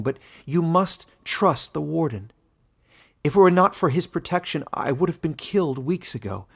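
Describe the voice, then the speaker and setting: A man's voice: on the high side, gravelly, pitched above the performer's own voice. Man voicing an earnest, pleading woman. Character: earnest, urgent woman traveler, loyal and pleading.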